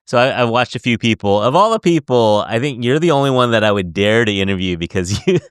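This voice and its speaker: A man's voice: mid-range voice, expressive, fast talker, clean tone, dry recording, studio quality. A man hosting a podcast interview, chatty and giggly, asking long rambling questions. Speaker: podcast host. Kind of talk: casual interview.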